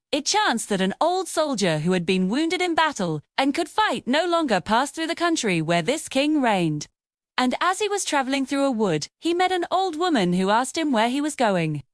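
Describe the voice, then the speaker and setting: High, animated woman storyteller. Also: moderate pace, dry recording, studio quality. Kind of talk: scripted fairy-tale narration.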